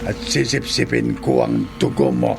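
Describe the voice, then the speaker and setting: A menacing, boastful vampire in a horror radio drama. The voice is heavily gravelled and swings expressively as it threatens its prey. Clear dramatic music runs behind it. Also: male voice, medium pitch, huge pitch swings, a little slow, heavily acted. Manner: theatrical, threatening. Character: menacing, proud male vampire on the hunt.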